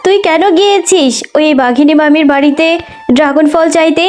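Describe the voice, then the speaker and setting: Very high female voice, woman acting as protective cartoon animal mother, emphatic, motherly delivery. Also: brisk, darkish tone, close to an everyday voice. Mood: scolding. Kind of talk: children's cartoon story voice acting.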